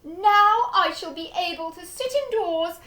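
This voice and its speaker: A woman reading aloud, voicing an excitable, blustering old male duke in a storybook who bellows his exclamations. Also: measured pace, roomy sound, exaggerated voice. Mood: excited. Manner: booming exclamations.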